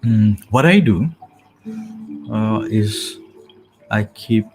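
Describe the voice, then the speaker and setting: A man with a calming, soothing voice, softly hosting a casual sleep meditation talk with many hesitant fillers. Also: fairly low pitch, very slow. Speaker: host. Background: calming music.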